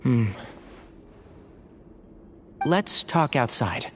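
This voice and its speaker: An actor playing a calm, gently serious physician and scholar in a video game, speaking thoughtfully and politely. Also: male voice, medium pitch, very slow, a little hiss or hum. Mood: grave, gentle.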